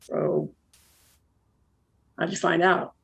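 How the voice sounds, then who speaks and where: A woman's voice: fairly low pitch, fairly even intonation, drawn-out words, gravelly, a bit bright, slightly rough, muffled recording, full character voice. A woman acting a blunt, lonely forty-nine-year-old who probes with wry questions in a naturalistic play. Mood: wry, nosy. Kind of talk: naturalistic one-act play dialogue.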